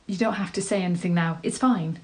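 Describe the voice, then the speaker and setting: A woman's voice: lowish voice, brisk, natural voice. An actress performing a dramatic monologue as a nervous, tender woman, earnest, hesitant and self-deprecating. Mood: tender, hopeful. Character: nervous, tender, rambling woman proposing marriage.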